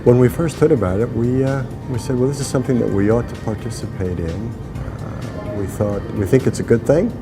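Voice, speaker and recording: A man's voice: medium pitch, a little fast, few pauses. A man giving an informal interview as an exhibitor, hesitating and repeating his words. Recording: music behind the voice.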